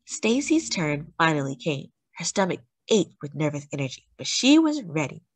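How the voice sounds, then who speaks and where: Woman storyteller, lively swooping intonation, slightly husky, children's read-aloud, dramatic emphasis. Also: deep voice, dry recording. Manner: deliberate, dramatic emphasis on key words. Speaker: storyteller reading a children's picture book aloud.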